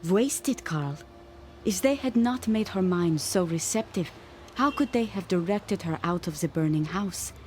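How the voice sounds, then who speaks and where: Professor's wife, mellow, gentle but firm, radio drama. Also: female voice, lowish voice, lively intonation, a little slow, a little hiss or hum. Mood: calm conviction. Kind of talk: vintage-style radio drama acting. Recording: faint music under the dialogue.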